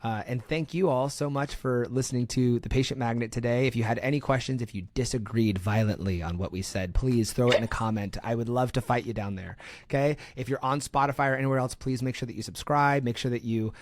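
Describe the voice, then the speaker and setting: A man's voice: mid-range voice, quick pace. A man hosting a podcast in an informal, slangy way, rephrasing his thoughts as he goes. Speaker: podcast host. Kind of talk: casual interview chat.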